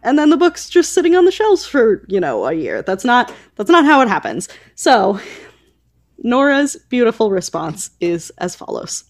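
A woman's voice: fairly high pitch, big pitch swings, moderate pace. A woman hosting a podcast in a casual, conversational style, informal and full of asides. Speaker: podcast host. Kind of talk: casual conversational book chat.

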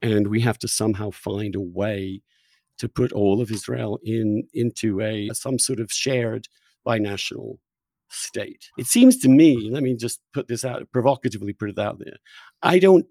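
A man's voice: lowish voice, normal speed, warm tone, no room sound. A man hosting an argumentative political conversation, blunt and insistent, often stammering into restarts as he presses his points. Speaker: podcast host and interviewer.

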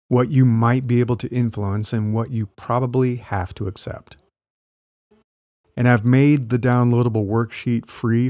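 A man who works as a career coach, talking through ideas in a relaxed, explanatory way with small laughs, his voice a little worn as he says he is losing it. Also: medium pitch, dry recording. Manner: conversational, explanatory, light laughs. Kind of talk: solo podcast talk.

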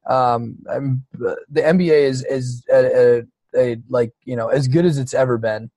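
A man in his middle range of pitch, talking basketball in a loose, rambling way and swearing freely. The sound is close and dry, with no room around it. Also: steady tone, normal speed, dark tone, no room sound, poor audio. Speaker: podcast co-host. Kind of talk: casual sports chat.

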